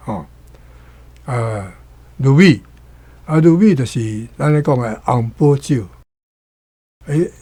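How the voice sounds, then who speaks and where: Casting: older Taiwanese male, casual reminiscer, warm dark tone, slightly rough, mid pitch. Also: no room sound.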